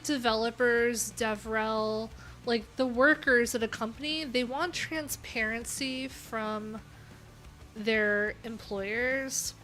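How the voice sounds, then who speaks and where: A woman's voice: fairly high pitch, pushed and bright. A woman hosting a casual coding livestream, talking candidly in a rambling way with lots of filler words. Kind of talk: casual live-stream chat.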